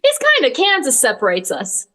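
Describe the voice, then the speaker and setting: A woman's voice: high voice, huge pitch swings, normal speed, a bit bright. A woman with an American accent, an interview guest chatting casually in an upbeat, agreeable manner. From Michigan, United States. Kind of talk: casual chat.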